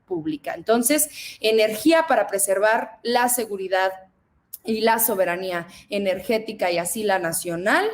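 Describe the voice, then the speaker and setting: A woman's voice: on the high side, a little hiss or hum. A Mexican woman in her twenties, a federal deputy, speaking emphatically in a political interview and addressing the audience. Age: 20s.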